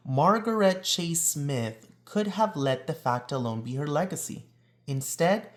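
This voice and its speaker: A man reading a children's picture book aloud in a deliberate, expressive storytelling delivery, drawing out words for emphasis. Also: medium pitch. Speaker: read-aloud reader.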